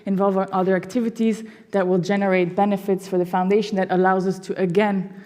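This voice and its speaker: A woman from Belgium presents to an audience with eager energy, often saying "you know". Her voice sits at a middle pitch. Long reverb from the hall trails behind her words. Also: level tone, fast talker, dark tone. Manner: enthusiastic, fillers like "you know" and "no". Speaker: foundation presenter. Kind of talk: public presentation. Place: symposium hall.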